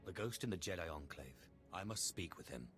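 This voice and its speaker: An actor playing a sinister, commanding master of the dark side in a cinematic video game. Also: male voice, low voice, lively intonation, brisk. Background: game music.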